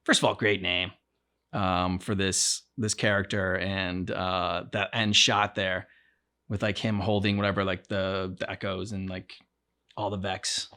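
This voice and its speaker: A man hosting a game reaction, chatting casually in a halting, rambling way and often trailing off mid-thought. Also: deep voice, level tone, pushed and bright. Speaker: reaction channel host. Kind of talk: casual reaction chat about a video game.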